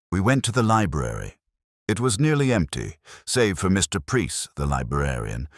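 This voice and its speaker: A man playing a world-weary older detective inspector, narrating a mystery audio drama in a measured, literary, brooding manner. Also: very deep voice, no room sound, studio quality, full character voice.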